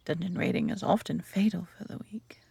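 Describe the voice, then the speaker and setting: A woman's voice: fairly low pitch, steady tone, a little fast, creaky, dark tone, slightly rough, no echo, whispered, full character voice. A woman with an English accent playing a friendly, adventurous elf archer in a roleplay audio drama, talking conversationally to the listener. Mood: friendly, chatty.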